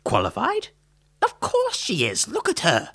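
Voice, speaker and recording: A man's voice: sits high, wildly swooping pitch, measured pace, gravelly, pushed and bright, full character voice. A man playing a fussy, bigoted, pompous tailor in a western radio serial, with exaggerated, effusive delivery. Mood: blustering, dismissive.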